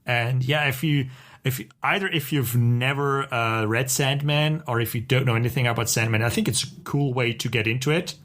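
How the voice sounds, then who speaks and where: A man's voice: medium pitch, normal speed, fairly bright tone. Enthusiastic man co-hosting a casual podcast. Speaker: podcast co-host.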